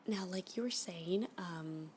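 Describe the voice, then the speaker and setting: A woman playing a flirty, obsessive, unhinged admirer in an intimate ASMR roleplay, coy and rambling, often slipping into breathy half-whispers. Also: lowish voice, slow pace, a little mellow, slight background noise. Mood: jealous, insistent. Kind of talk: ASMR roleplay, intimate one-sided dialogue.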